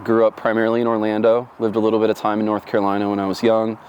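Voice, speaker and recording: Low-voiced man reflecting at length. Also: monotone, rapid-fire, noisy background. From Florida. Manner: reflective, rambling, with many fillers and restarts. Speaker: interviewee. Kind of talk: oral history interview.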